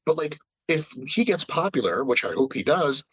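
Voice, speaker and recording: A man's voice: a little high, big pitch swings, moderate pace, a bit bright, husky, no room sound. A man in his late thirties in casual podcast chat, ranting with emphatic, profane energy. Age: 30s. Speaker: fitness podcast regular.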